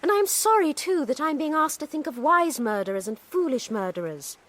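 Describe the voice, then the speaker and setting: A woman's voice: high voice, very animated, fairly quick. An actress playing a principled young Victorian lady in a period radio drama, earnest, prim and formal. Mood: earnest, disapproving.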